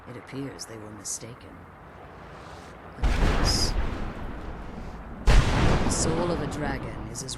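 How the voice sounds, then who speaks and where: Formal, guarded vampire mother, loud noise behind. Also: very deep voice. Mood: grave astonishment. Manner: formal, measured, eloquent. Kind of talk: video game character acting.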